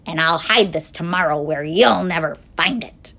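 A woman's voice: on the low side, normal speed, sharp tone. A woman voicing a mighty, sneering male eagle villain in a storybook, brusque and taunting.

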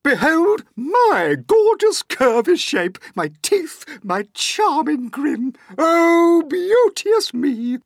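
A storyteller voicing a bony, spiteful old aunt who screeches and shrieks shrilly in a high, strained voice. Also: sing-song, drawn-out words, vocal fry, few pauses, no room sound, studio quality, exaggerated voice. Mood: shrill scorn.

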